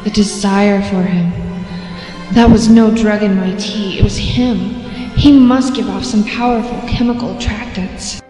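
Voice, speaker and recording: A woman's voice: medium pitch, steady tone, unhurried, warm tone, lightly acted. Woman playing a echo-drenched, defiant young princess. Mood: inward, resolute. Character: captive young princess, guarded, proud and defiant. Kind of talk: drama dialogue and inner monologue. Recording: long echo, faint music.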